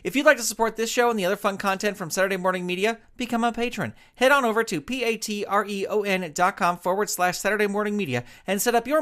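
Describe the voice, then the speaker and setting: A man's voice: sits high, a bit bright. A man reading scripted credits and promos as an announcer, upbeat and addressing children.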